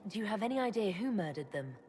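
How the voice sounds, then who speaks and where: A woman playing a composed, decisive heroine leader in a video game, speaking plainly, calmly and supportively. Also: medium pitch, fast, slight background noise, close to an everyday voice. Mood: concerned, steady. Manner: plain, direct, calm. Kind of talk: dramatic video game cutscene acting.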